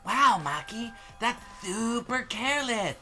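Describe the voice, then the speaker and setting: Woman as mischievous schemer, exaggerated playful delivery, bright, very animated, anime game fan dub, light music behind. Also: on the high side, slow, heavily acted. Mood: mock outrage. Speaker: amateur fan dub voice actor. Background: background music.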